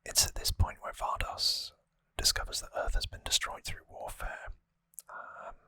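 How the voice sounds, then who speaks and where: An ASMR host whispering breathily and close to the microphone, explaining things softly and informally with some hesitation. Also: unhurried, no echo. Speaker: host of a trivia ASMR show. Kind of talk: ASMR whispered episode recap.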